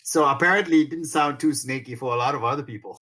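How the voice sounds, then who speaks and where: A middle-aged man from Tanzania, a company founder, talking through his career in a relaxed interview with plenty of fillers and restarts. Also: mid-range voice. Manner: halting, many fillers and restarts. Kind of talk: conversational interview.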